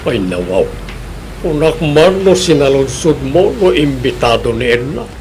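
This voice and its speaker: A man's voice: medium pitch, sing-song, measured pace, a little mellow, slight background noise, low-quality recording. A man playing a suspicious, grumbling older village husband with an Ilocano accent in a Filipino radio comedy drama.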